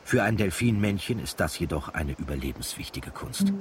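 Wry radio play narrator, deep voice, dramatic telling, bit far from mic, noisy ambience. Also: male voice, animated, normal speed. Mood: wry, storytelling. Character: wry male storyteller of a true-crime style nature drama.

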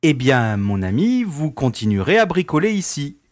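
An actor playing an affable, patronising prison director in an audio drama. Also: male voice, a little high, big pitch swings, normal speed. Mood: genial. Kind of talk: French audio drama acting.

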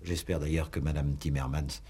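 An actor playing a stern, impatient examining magistrate in a 1960s radio play, curt and condescending. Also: male voice, extremely low pitch, fairly even intonation, normal speed, dark tone, husky. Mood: stern, dismissive. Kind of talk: 1960s radio drama acting.

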